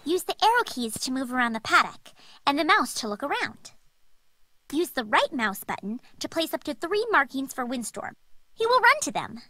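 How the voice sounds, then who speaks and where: High, animated horse-loving girl. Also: moderate pace, full character voice. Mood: instructive, bright. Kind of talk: video game character voice acting.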